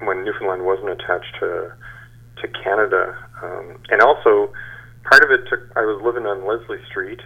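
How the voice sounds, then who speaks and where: A man in his sixties giving halting, measured answers as an interview guest. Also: low voice. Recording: dull band-limited phone-like sound.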